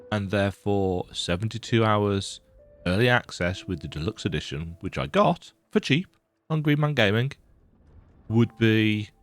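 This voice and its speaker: Croaky man chatting over a game. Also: on the low side. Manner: chatty, self-deprecating, rambling. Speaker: let's play gamer. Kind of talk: casual gameplay commentary.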